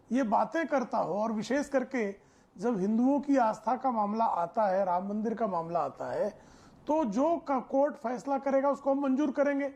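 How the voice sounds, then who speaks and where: Male panelist, high-pitched voice, oratorical and emphatic, formal political debate delivery. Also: darkish tone. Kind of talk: forceful political debate argument.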